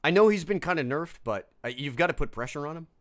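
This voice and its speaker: A man casting a live video game match, talking in quick asides with frequent restarts. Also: fairly high pitch, lively intonation, a bit bright. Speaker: esports caster.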